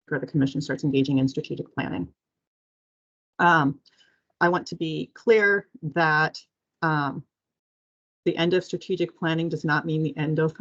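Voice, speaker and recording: A woman giving a measured, explanatory semi-formal presentation as agency staff on a muffled conference call. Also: deep voice, gravelly.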